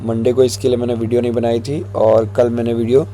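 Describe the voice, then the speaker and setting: A man with a hoarse throat, walking viewers through stock chart levels in a relaxed tutorial, mixing in English phrases. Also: mid-range voice, fast, soft background music. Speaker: stock market analyst.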